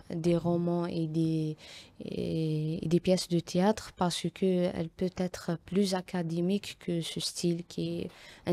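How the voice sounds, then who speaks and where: A young woman from Algeria speaks, her being Tamazight. Her voice sits low and barely moves in pitch, with a soft, dark tone. She answers interview questions haltingly, full of fillers and restarts. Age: young adult. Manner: hesitant, frequent fillers and restarts. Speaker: guest author.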